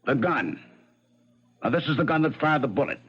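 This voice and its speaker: A hard-pressing interrogator in a vintage radio play. He is insistent and accusing, with lively swings of pitch. The recording is dull and lo-fi. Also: normal speed, husky. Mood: stern, accusing. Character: stern, pressing male police interrogator. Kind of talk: 1970s radio drama acting.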